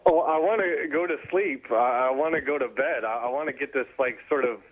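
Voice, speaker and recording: A man's voice: mid-range voice, a little fast. A nervous man in casual radio conversation over a thin phone line, stammering, hesitant and often correcting himself. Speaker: radio show staffer being questioned.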